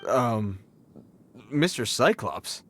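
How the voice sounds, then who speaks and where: A man's voice: mid-range voice, big pitch swings, extremely slow, a bit bright, a little hiss or hum, full character voice. A man voicing an earnest, dutiful young swordsman with formal, polite speech in an anime-style video game. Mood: flustered.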